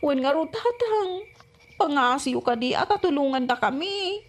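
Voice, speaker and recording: A woman's voice: sits high, lively intonation, moderate pace. A woman acting a small, pleading youngster in an Ilocano radio comedy drama.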